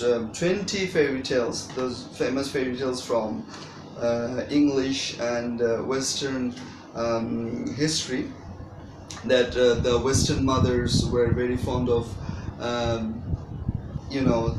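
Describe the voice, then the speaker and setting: An adult storyteller talking casually to a child, wordy and hesitant, with frequent long pauses. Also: medium pitch. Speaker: storyteller guest reading to a child. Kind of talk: casual unscripted introduction to storytelling. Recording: echoey room, distant mic, noisy.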